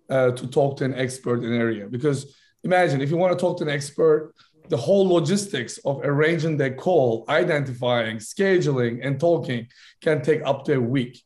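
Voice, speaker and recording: A man from Turkey, a founder making a fluent business pitch in a podcast interview, correcting himself on the fly. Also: a little mellow. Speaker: startup founder guest.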